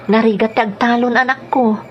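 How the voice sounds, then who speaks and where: A woman's voice: fairly high pitch, steady tone, a little fast, highs cut off, a little hiss or hum, close to an everyday voice. A woman playing a weary, protective young mother in an emotional radio drama style. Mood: concerned, tender.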